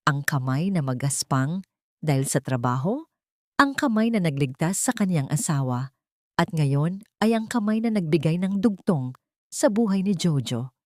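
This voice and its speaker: A woman with a slightly low voice narrates an audio story. Her delivery is scripted and dramatic, and the recording is close and dry. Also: sing-song, moderate pace, warm tone, no echo.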